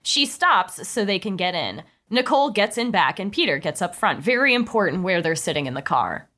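A woman's voice: mid-range voice, sing-song, moderate pace, fairly bright tone. A woman reading stage directions in an amused way as the narrator of a comedic script table read.

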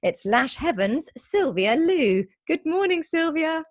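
A woman's voice: high-pitched, big pitch swings, takes it slowly, no room sound, studio quality. A woman hosting a podcast interview, asking questions in a chatty, encouraging way. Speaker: podcast host.

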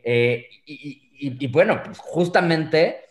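A man in a casual analytical film discussion, opinionated and deliberate, pausing to find words, recorded in an echoey space. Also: a little high, lively intonation. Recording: roomy, echoey sound.

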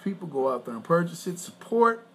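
A man co-hosting a podcast with his wife, talking in a loose, boastful, rambling way and clearing his throat often. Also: on the high side, big pitch swings, fairly quick. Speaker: podcast co-host. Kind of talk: casual chat.

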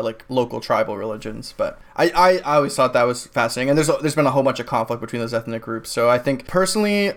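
A man co-hosting a political talk show, speaking in a loose, rambling way full of fillers and restarts. His voice is clean and resonant, at an ordinary pitch for a man. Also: smooth. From New England, United States. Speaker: podcast co-host. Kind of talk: casual political discussion.

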